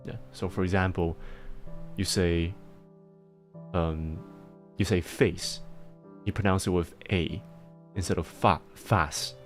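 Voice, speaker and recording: A man livestreaming and chatting casually, explaining things in halting bursts with frequent restarts and laughter, speaking with a mixed accent. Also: low-pitched, steady tone, soft background music. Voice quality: self-described mixed accent. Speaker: livestreamer answering chat.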